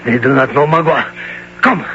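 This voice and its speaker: An actor playing a proud, vengeful warrior villain with stilted, menacing declarations in an old-time radio drama. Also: male voice, mid-range voice, normal speed, fairly bright tone, faint music behind, close to an everyday voice. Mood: gloating. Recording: muffled vintage radio, heavy noise.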